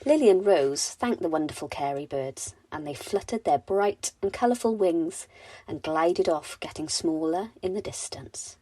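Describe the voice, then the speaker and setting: A woman storyteller gently and expressively narrating a bedtime story for children. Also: lowish voice, lively intonation, moderate pace, dry recording.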